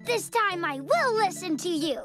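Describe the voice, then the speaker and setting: A performer voicing an eager, stubborn little wolf-cub boy in a children's cartoon, excitable and impulsive. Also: very high-pitched, normal speed. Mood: regretful. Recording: music behind the voice.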